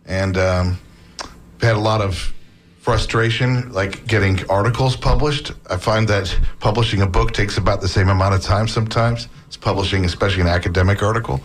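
A man talking casually as a guest author on an interview show, relaxed and chatty, with many fillers and restarts. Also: fairly low pitch, fairly quick. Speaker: book author, academic.

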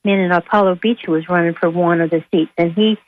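A woman calling in to a radio show over the phone, speaking off the cuff in a halting, hesitant way. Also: fairly low pitch, steady tone, dry recording. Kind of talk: unscripted call-in comment. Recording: tinny narrow phone line.

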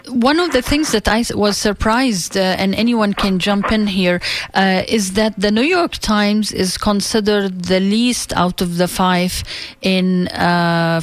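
Woman, radio co-host, lowish voice, hesitant questions, dry close sound. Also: no room sound. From Palestine. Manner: conversational, hesitant, many fillers. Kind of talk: radio talk show interviewing.

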